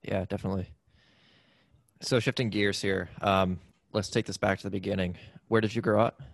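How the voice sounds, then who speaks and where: Male interviewer, casual questioning. Lowish, creaky voice, close dry sound. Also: steady tone, a little fast, dry recording.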